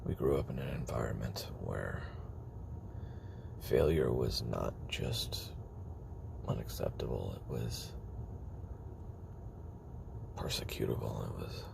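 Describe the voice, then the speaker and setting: A man playing a weary, guarded former assassin in a confessional audio roleplay, murmuring haltingly with a gravelly, breathy voice. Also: rumbling low, in a whisper, heavily acted. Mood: haunted, halting. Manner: halting, hesitant, self-doubting, trailing pauses.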